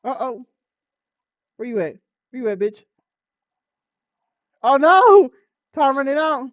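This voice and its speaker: An American woman streaming a visual novel, switching between dramatic reading and slangy, profane, expressive reactions. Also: high voice, takes it slowly, dry recording. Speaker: gaming streamer. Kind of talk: animated let's play commentary and dramatic read-aloud of a visual novel. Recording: slightly dull audio with music behind. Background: game music.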